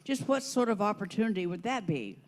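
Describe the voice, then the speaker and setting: Man as a skeptical housewife, high pitch, arch and courteous, swooping intonation, amateur comedy skit. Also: big pitch swings, a little fast. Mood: arch, curious. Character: polite, skeptical stay-at-home housewife. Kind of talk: amateur comic skit reading. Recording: echoey hall with long reverb.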